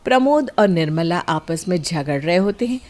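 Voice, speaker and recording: A woman's voice: fairly low pitch, lively intonation, moderate pace, heavy background noise. A woman reading scripted narration for a moral story.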